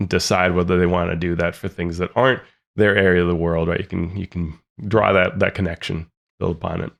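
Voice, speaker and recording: A man's voice: low voice, little pitch movement, fairly quick, creaky. A man co-hosting a gaming podcast, talking chattily with lots of asides about running games. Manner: chatty, filler-heavy, full of asides. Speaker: podcast co-host. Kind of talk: casual podcast discussion.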